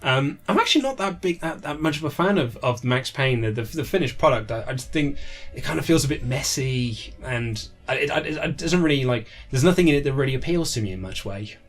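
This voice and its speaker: A man's voice: medium pitch, expressive, fairly quick, fairly bright tone. A British man in his thirties telling anecdotes in a casual interview, acting out quotes as he goes. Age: 30s. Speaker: interview guest, author. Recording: music playing behind the voice. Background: background music.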